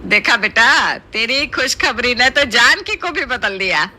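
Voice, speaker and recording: A woman's voice: on the high side, lively intonation, unhurried, sharp tone, exaggerated voice. A woman voicing a bossy, affectionate middle-aged mother-in-law in a cartoon moral story, emphatic and motherly. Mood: cheerful.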